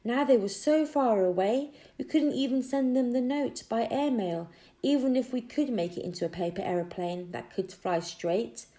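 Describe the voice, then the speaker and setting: Mellow woman reading a children's story. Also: on the high side, moderate pace. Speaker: audiobook reader. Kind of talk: expressive scripted children's story narration.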